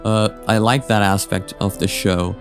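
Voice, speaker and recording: A man's voice: fairly low pitch, steady tone, unhurried, soft background music. A man hosting a reaction video with casual, rambling commentary, hesitant and often repeating his words.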